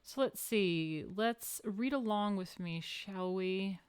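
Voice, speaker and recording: A woman's voice: mid-range voice, slow, clear, resonant tone. A woman with a New York accent reads an art history book aloud to a live audience and breaks off for wry personal asides. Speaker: host reading an art history book to viewers. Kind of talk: casual livestream reading and commentary.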